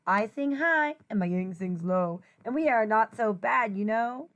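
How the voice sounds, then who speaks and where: High-pitched woman reading a children's book. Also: unhurried, lo-fi sound. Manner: expressive sing-song rhyming delivery with playful asides. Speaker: teacher reading aloud. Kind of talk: children's picture book read-aloud.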